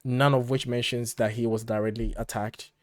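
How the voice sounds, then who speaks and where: Guy on a podcast recapping stuff in an animated chatty way, medium pitch, really dry audio. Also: moderate pace, dry recording. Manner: animated recapping, acts out paraphrases. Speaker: podcast co-host. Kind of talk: conversational podcast commentary.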